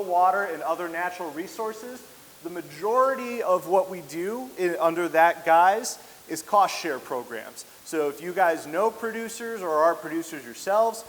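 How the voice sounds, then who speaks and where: A man with a somewhat high voice gives an easygoing, joking presentation to an audience. He speaks loudly into a handheld mic. The sound rings with a long hall reverb under a faint hiss. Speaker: conservation specialist giving a presentation. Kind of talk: public presentation. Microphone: handheld microphone.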